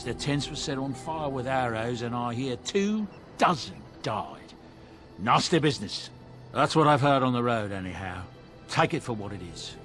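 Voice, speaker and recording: Plain-spoken traveller played by a man, mid-pitched. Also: lightly acted. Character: plain-spoken male traveller passing on grim news. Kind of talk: video game dialogue acting.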